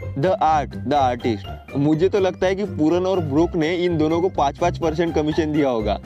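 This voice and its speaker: A man's voice: on the high side, unhurried, highs cut off, loud music behind the voice. A man from India doing comic commentary on memes, often laughing as he reads captions aloud. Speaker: meme reaction host.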